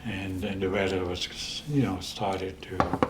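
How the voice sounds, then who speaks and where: Older Dutch-accented man in his eighties, unhurried and level, stop-start storyteller; echoey room. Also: sits low, little pitch movement, background noise. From the Netherlands. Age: 80s. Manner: halting, with many restarts and pauses. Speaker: interview guest. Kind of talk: storytelling in a casual interview.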